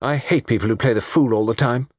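A man voicing a very young, haughty society woman with a crisp, chiding manner. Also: medium pitch, fast, no echo, clean studio sound, pitched above the performer's own voice, lightly acted. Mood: cutting.

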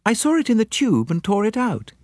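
A grim, efficient middle-aged secretary, as a male narrator puts her on, pitched well above his own voice. She speaks bluntly and in a matter-of-fact way, with a lively lift to her lines and a dry sound. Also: brisk, slightly rough, dry recording, exaggerated voice.

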